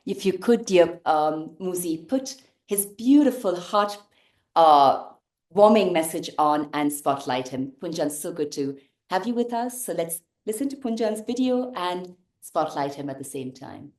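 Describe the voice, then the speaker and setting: Mellow woman host in an echoey room. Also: fairly low pitch, expressive, unhurried. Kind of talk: hosting a live online event. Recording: echoey room on online call.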